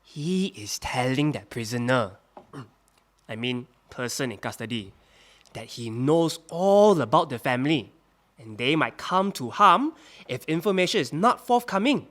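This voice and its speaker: An actor playing a male witness at a wartime trial, speaking in a halting testimony that climbs into agitated outbursts. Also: mid-range voice, lively intonation, fairly bright tone. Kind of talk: audio drama acting.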